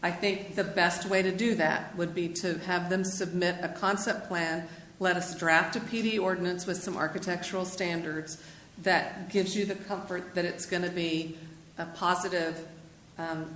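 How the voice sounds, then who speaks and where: A woman's voice: fairly low pitch, steady tone, normal speed, slight background noise. A woman on city staff explaining procedure firmly at a council meeting. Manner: firm, explanatory, says she has a loud voice. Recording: echoey meeting room.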